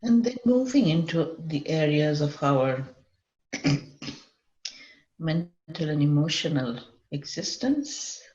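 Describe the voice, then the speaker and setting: Slow-speaking woman guiding a meditation. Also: sits low, animated, warm tone. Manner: measured, pausing often mid-sentence. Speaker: meditation guide. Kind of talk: guided meditation, semi-improvised. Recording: dull lo-fi, echoey room.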